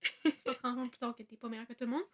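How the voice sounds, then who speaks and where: A young woman with a Quebec French accent, co-hosting a podcast in relaxed, slangy talk. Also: on the high side, racing. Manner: relaxed, slangy, with fillers like "genre" and "comme". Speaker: podcast co-host. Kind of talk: casual chat.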